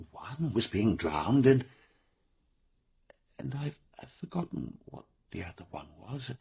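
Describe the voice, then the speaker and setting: Casting: a downtrodden, troubled veteran in radio drama, very husky, halting, swooping in pitch. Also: male voice, heavily acted. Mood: haunted, wistful. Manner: hesitant, halting, stammering repeats. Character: troubled, timid, downtrodden war veteran accused of murder.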